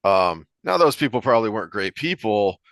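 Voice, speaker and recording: The low-voiced man on a book podcast, thinking aloud into a close, dry mic as he explains and digresses. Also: big pitch swings, fairly quick, fairly bright tone, dry recording. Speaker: podcast host discussing books. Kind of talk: conversational analytical discussion.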